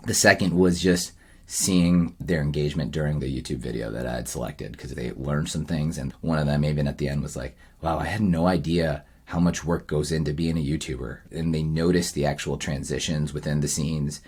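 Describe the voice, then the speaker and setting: Man, very deep voice, husky, casual video diary, reflective, plain-spoken. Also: fairly even intonation, moderate pace, a little mellow. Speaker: vlogger running a storytelling camp for kids.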